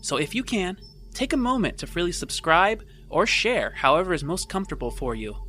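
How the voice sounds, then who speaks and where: A man's voice: high-pitched, very animated, fairly quick, music in the background. A man hosting a tabletop role-playing show as its game master.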